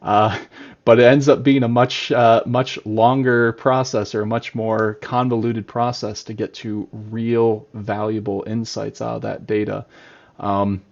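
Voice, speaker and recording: A man's voice: fairly low pitch, level tone, a little slow, vocal fry, dull audio. A man hosting a live-streamed data podcast, upbeat and chatty with frequent uhs. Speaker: podcast host.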